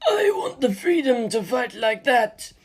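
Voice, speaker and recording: A man voicing a laid-back winged comrade in a story, easygoing and eager to fight, with a drawn-out delivery. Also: very high-pitched, big pitch swings, breathy edge, pitched above the performer's own voice, exaggerated voice. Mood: longing.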